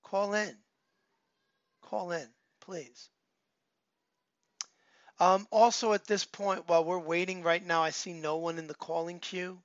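A man's voice: high-pitched, fairly even intonation, unhurried, pauses often, no room sound, highs cut off. A man pastor giving announcements and appeals in an online church service, earnest and repetitive, his voice still worn from a recent cold. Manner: earnest, repeats key phrases, many filler ums. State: recovering from a cold, voice not fully back.

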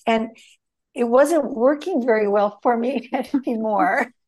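A woman's voice: on the high side, slow pace, darkish tone, poor audio. A middle-aged woman whose first language is Greek, telling stories in a rambling conversational way, full of restarts and fillers. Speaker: guest. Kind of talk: conversational storytelling.

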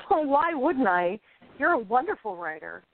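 A woman's voice: fairly high pitch, measured pace, exaggerated voice. A woman playing a feisty, sarcastic but fond young actress in a radio play, with a sassy delivery. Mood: fond, teasing. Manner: sassy, expressive. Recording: tinny, band-limited phone-like sound.

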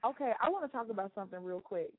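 A woman's voice: mid-range voice, lively intonation, rapid-fire, narrow, tinny audio. A woman hosting a radio interview, chatty, dropping frequent "okay"s and "um"s. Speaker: radio show host.

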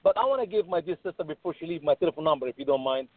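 A man's voice: on the high side, animated, very fast, no echo. A man calling into a talk radio debate as a guest, insistently pressing to finish his point. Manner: insistent, keeps cutting in to speak. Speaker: guest, radio show host. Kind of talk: phone-in panel debate. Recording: thin phone line, dry.

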